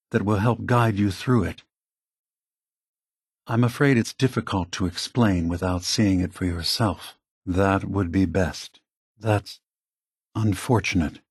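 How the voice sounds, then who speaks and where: A man voicing a calm, remorseful old synthetic android leader in a video game, speaking in a measured, grave, deliberate way. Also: lowish voice, husky, no room sound, clean studio sound.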